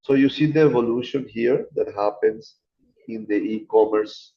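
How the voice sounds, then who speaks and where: Low-voiced man lecturing casually. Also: lo-fi sound. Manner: casual, chatty teaching, addresses students as guys. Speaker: guest lecturer. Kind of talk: informal online lecture.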